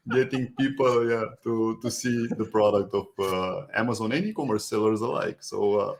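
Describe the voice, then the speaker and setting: A man with a Romanian accent, a podcast guest in a casual interview, joking and pausing often with fillers. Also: fairly low pitch, vocal fry. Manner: joking, halting with many uh fillers. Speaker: podcast guest, agency co-founder.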